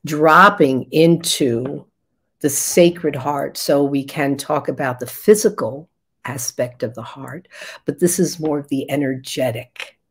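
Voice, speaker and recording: Older woman, raspy, very low voice, unhurried meditative guidance, video call. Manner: slow, soft and meditative, with pauses. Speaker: spiritual guide. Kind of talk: guided meditation with channeled chanting. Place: indoor room at home.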